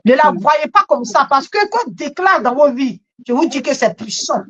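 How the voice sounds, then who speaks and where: Female church exhorter, high voice, emphatic and repetitive, animated charismatic delivery, dull lo-fi sound. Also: moderate pace. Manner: emphatic, repeats phrases.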